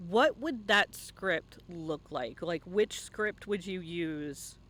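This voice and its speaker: A man's voice: sits high, lively intonation, takes it slowly, bright, forceful tone. A man hosting a casual live-streamed interview in an informal, joking way. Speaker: interviewer and host.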